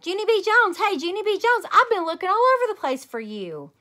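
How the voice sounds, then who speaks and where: Storybook young girl, played by a woman, chatty, eager, insistent, high, bright. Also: very animated, fairly quick, heavily acted. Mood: excited.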